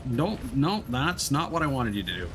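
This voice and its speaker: Animated man streaming a video game. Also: medium pitch, normal speed, noisy background. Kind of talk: casual live gameplay commentary. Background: music behind the voice.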